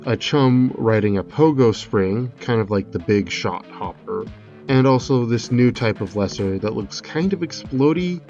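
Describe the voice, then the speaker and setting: A man giving a measured, explanatory voice-over commentary, semi-scripted, as a video creator analysing a game trailer. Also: mid-range voice, lively intonation, a little mellow, highs cut off, soft background music.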